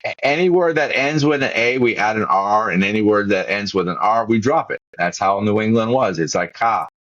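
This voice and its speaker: A man's voice: on the low side, a little fast, fairly bright tone, no room sound, dull audio. A man with a Boston accent hosting a podcast interview, chatting casually and rambling with frequent restarts. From Massachusetts, USA. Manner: rambling, many restarts and repeated words. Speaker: podcast host.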